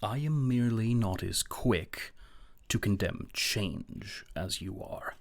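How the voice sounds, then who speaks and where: A man playing a grave, brooding philosopher in an audio drama, husky and gravelly, with a solemn, measured, theatrical delivery. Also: fairly low pitch. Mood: solemn, ominous. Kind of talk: dramatic audio play acting.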